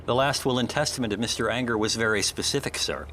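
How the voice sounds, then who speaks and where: A man's voice: mid-range voice, fast talker, pushed and bright, a little hiss or hum, close to an everyday voice. An actor playing a prim, loyal male assistant in a noir audio drama, formal, precise and reproachful. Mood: stiffly formal.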